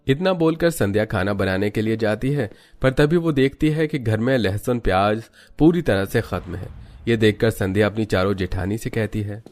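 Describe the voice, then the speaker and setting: A man's voice: lowish voice, moderate pace, darkish tone. A man narrating a scripted children's cartoon story.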